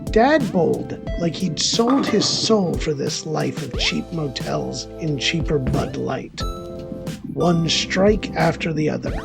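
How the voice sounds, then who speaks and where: An actor playing a bitter, self-deprecating loner in a conversational dramatic monologue, rambling through defensive and resentful asides in a gravelly voice. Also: male voice, a little high, animated, takes it slowly, music in the background, full character voice. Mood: wistful, resentful.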